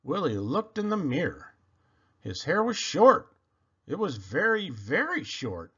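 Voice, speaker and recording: A man reading a children's picture book aloud in an expressive, animated and deliberate storytelling manner. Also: fairly high pitch, husky, lo-fi sound.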